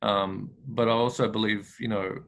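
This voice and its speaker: A man's voice: on the low side, fairly even intonation, normal speed, creaky, fairly bright tone. A man hosting a casual interview, asking questions hesitantly with frequent fillers. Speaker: podcast host, interviewer.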